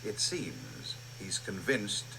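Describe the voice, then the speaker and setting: A man's voice: deep voice, sing-song, takes it slowly, vocal fry, background noise, exaggerated voice. An actor playing a dignified, concerned middle-aged royal father in an old radio drama. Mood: grave concern. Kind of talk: 1980s radio drama acting.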